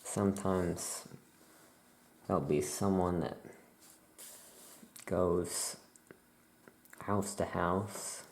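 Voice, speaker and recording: Slow, low, creaky soft-spoken ASMR voice. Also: steady tone, roomy sound, a little hiss or hum. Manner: soft-spoken, musing aloud to self. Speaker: ASMR creator playing a witch shopkeeper.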